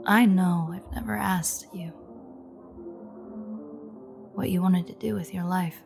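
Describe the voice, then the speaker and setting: A sardonic female vampire, acted by a woman for an intimate audio drama, speaks low and very slowly with a creaky edge. She slips in and out of whispers, threatening and teasing an old enemy she is secretly fond of. Music plays behind her. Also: steady tone. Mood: wry, wistful. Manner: wry, teasing, half-whispered, unhurried. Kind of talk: intimate audio roleplay acting.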